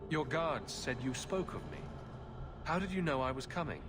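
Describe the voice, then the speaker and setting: Guy playing a wry adventurer dude in a fantasy game, dry but pitch moves a lot, music behind. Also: expressive, moderate pace, noisy background, lightly acted. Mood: curious. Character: wry human adventurer, blunt and questioning. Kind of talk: fantasy video game dialogue.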